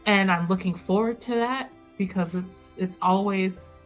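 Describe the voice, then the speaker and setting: A woman's voice: mid-range voice, a little slow, soft background music. A woman playing a tabletop roleplaying game, improvising casual in-character dialogue, hesitant and repeating her phrases.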